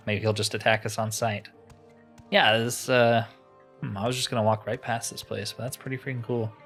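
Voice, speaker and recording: A man's voice: lowish voice, a bit bright. Male gaming host, casual think-aloud commentary with mild exclamations, music underneath. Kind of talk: casual game playthrough commentary.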